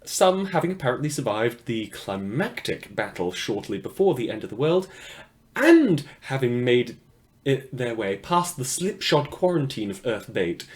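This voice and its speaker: A man's voice: medium pitch, very animated, unhurried. A man playing a wry, awkward, self-deprecating small-time radio news host in a fiction podcast set after the apocalypse. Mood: theatrical, sardonic. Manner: rambling, self-deprecating, dryly sardonic.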